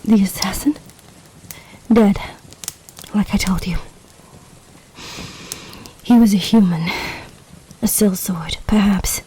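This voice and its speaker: A woman's voice: medium pitch, takes it slowly, pauses often, warm tone, husky, poor audio. A woman playing a regal, possessive, lovestruck lamia empress in a roleplay audio drama, speaking in formal, stately, dramatic phrases. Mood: grave, calculating.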